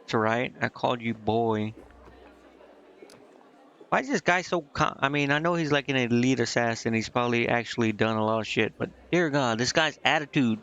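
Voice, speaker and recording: A man's voice: mid-range voice, moderate pace, a bit bright, clear, resonant tone, dull audio. A man giving casual, off-the-cuff gameplay commentary as a streamer, slangy and irreverent. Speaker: video game streamer.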